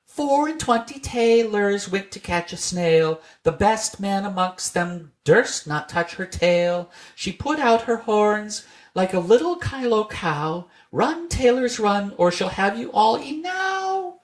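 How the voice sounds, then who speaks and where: A narrator putting on tiny squeaky singing mice. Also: very animated, slow. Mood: merry, singsong. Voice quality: small, thin, twittering voices. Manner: singsong nursery-rhyme chanting. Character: chorus of tiny merry, cheeky little mice singing rhymes.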